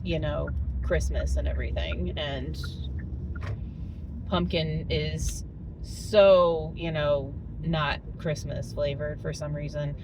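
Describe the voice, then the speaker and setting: A middle-aged woman chatting informally to camera as a family vlogger, in a casual, talkative way. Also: low voice. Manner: informal, chatty, laughs often. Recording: steady car and road noise.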